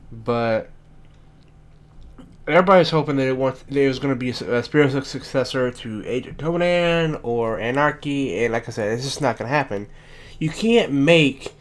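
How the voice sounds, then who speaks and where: Raspy young man ranting about games. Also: mid-range voice. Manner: blunt, ranting, swears often. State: raspy from just waking up. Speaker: gaming commentator. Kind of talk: casual solo commentary.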